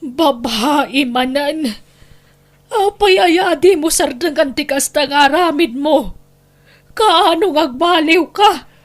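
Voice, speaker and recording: A high, plaintive voice carries this long-suffering Ilocano wife and mother played by a woman. She is anxious and pleading, in the style of a family radio drama. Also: takes it slowly. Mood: upset, confronting.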